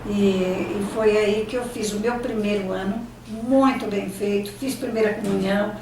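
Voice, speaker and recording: An older Brazilian woman whose first language was Italian, reminiscing in an interview and often pausing to search for words and restarting her sentences. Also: medium pitch, steady tone, background noise. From Brazil, São Paulo state. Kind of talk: oral history reminiscing. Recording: echoey room, distant mic, faint ambience.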